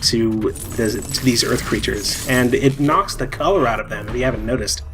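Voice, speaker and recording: A man's voice: mid-range voice, normal speed, poor audio. A man hosting a video game playthrough, chatting casually in a dry, ironic, rambling way. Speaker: host playing the game. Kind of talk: casual joking chat, game commentary. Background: loud game music and effects.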